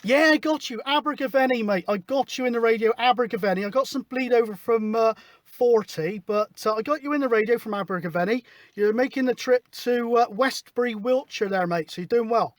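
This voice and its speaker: A man's voice: very high voice, brisk. A man chatting casually and matily as he runs a CB radio session. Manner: informal, matey. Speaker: CB radio operator, host. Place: outdoors at a hilltop spot, mobile radio setup.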